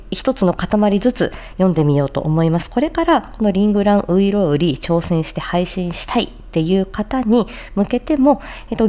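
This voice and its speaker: A woman voice performer with a soft, mellow tone that sits a little low. Her intonation swings widely as she presents and demonstrates recitation practice. She pays close attention to how each phrase is articulated. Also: muffled recording. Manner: articulation-focused, demonstrating and explaining tricky phrases. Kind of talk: casual explanatory talk with formal script recitation.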